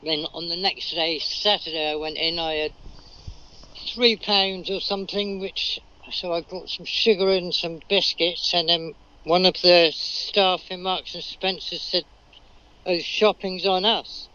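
High, bright-voiced man on a dull recording. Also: fairly even intonation, a little slow, smooth. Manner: plain, rambling anecdote with restarts. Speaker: magazine street vendor. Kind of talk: recorded message.

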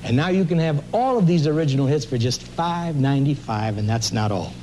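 Male commercial announcer, higher voice, emphatic record-offer pitch, faint noise behind. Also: expressive, fairly quick, mellow. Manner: emphatic sales delivery.

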